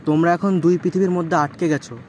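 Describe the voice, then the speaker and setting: A man performs a menacing temple shadow spirit in an audio horror story. The voice sits fairly high and hands down slow, ominous threats. Background ambient noise runs under the words. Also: fairly even intonation, darkish tone, lightly acted. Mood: ominous menace.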